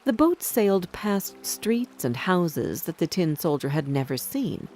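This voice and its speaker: A woman with a fairly low voice reads a children's fairy tale. Her storytelling has a very animated melody, and soft music plays behind her. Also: a little slow. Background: background music.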